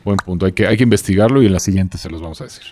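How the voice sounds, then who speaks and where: A man's voice: low-pitched, very fast, darkish tone, dry recording. A man co-presenting a podcast, explaining things in a casual, joking, informal way.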